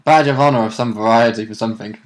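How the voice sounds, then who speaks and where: A man's voice: lowish voice, quick pace. A man, a co-host chatting casually and chipping in with reactions and asides. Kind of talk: casual chat, reacting and agreeing.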